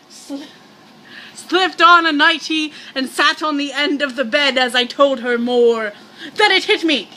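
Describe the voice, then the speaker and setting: High bright-voiced woman reading a scary story. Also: slight background noise. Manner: projecting loudly. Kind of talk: dramatic amateur read-aloud of a scary story.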